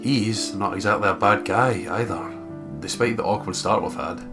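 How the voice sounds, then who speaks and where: Low-voiced Scottish man reading a game. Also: moderate pace. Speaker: let's play commentator and reader. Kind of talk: reading visual novel narration aloud, with casual commentary. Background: guitar music.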